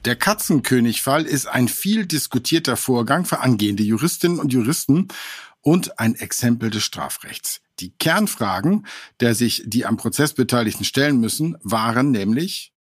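A man's voice: medium pitch, animated, moderate pace, no echo, clean studio sound. A man hosting a true-crime podcast, talking through a court case in a conversational, slightly wry way. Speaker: podcast host.

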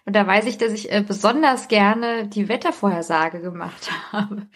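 A woman's voice: medium pitch, normal speed. A middle-aged woman, talking casually as a podcast host.

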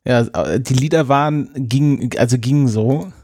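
A man's voice: mid-range voice, normal speed, darkish tone, slightly rough, no echo, clean studio sound. A man co-hosting a podcast in casual banter with friends, with a wry, joking manner. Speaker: podcast co-host.

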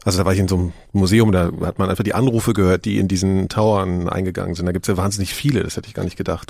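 A man hosts a casual podcast discussion in a very low, creaky voice. He speaks quickly. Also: darkish tone, no echo, clean studio sound. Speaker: podcast host.

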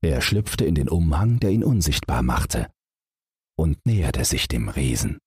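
A low-voiced man narrates a bedtime fairy tale. His voice is very raspy and he takes his time, telling the story in a measured, dramatic way. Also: very animated, a little mellow, dry recording, clean studio sound. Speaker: audiobook narrator.

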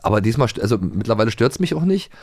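A man's voice: lowish voice, sing-song, racing, a little mellow, dry recording. A man, from the former East Germany, co-hosting a podcast in a playful, rambling, jokey way. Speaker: podcast co-host. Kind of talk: casual chat.

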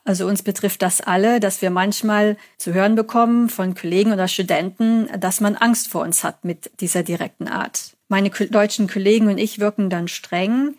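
A woman's voice: medium pitch, steady tone, moderate pace, few pauses, a little mellow. A German woman in her thirties, a psychology lecturer, answering an interview in a chatty way and telling stories with quoted examples.

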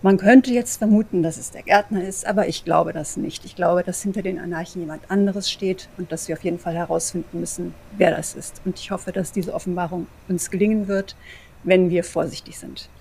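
A woman's voice: lowish voice, fairly even intonation, fairly quick, creaky, darkish tone, a little hiss or hum. A woman playing a cautious, cryptic vampire seer in an audio drama, explaining earnestly and hesitantly in circles. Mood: earnest warning. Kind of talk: improvised role-play audio drama.

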